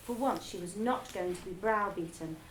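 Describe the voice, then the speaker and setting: Expressive woman teacher reading aloud. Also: mid-range voice, normal speed, slight background noise. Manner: expressive storytelling, plain explaining to children. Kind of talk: reading a storybook aloud to a class. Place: school classroom. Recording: echoey classroom, faint ambience.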